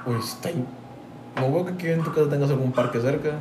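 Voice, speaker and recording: A man's voice: on the high side, fast. A young man from Tampico with a Mexican Spanish accent, co-hosting a podcast in casual, profane chat full of slang. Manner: slangy, profane, says "güey" constantly. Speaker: podcast co-host. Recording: echoey room with clear background noise.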